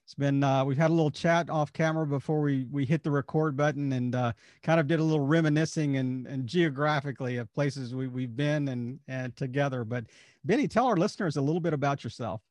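Man, podcast host, friendly casual, mid-pitched voice, dry close sound. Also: brisk, a little mellow, no room sound. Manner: friendly, laughs often, short affirmations. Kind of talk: casual interview hosting.

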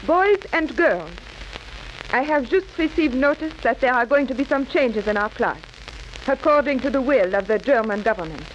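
High-voiced schoolteacher, old radio. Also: female voice, animated, moderate pace. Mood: subdued, earnest. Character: dutiful, gentle schoolteacher woman under occupation. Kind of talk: 1940s radio acting. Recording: muffled, hissy 1940s radio recording.

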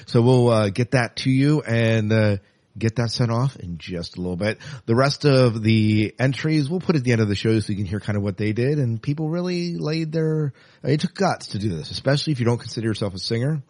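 Guy hosting a podcast, really animated and chatty, dry sound. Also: medium pitch, a little fast, dry recording. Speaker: podcast host. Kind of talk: casual podcast chat.

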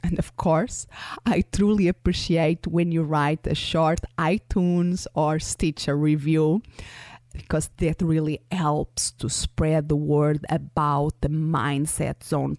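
A woman with a Portuguese accent talks slowly and deliberately, her voice low-pitched and warm. She hosts a solo podcast in an earnest, coaching manner, recorded close and dry with no room sound. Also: dry recording. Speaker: podcast host. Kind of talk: scripted solo podcast monologue.

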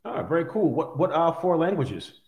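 A man interviewing casually, with an informal, halting way of talking and often repeated words. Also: on the high side, big pitch swings, a little mellow. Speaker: interviewer, athletic trainer. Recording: echoey room.

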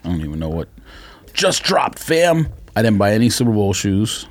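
A man's voice: lowish voice, very animated, normal speed. A man co-hosting a sneaker podcast in casual, bantering, slangy talk while under the weather with a cold. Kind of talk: casual chat.

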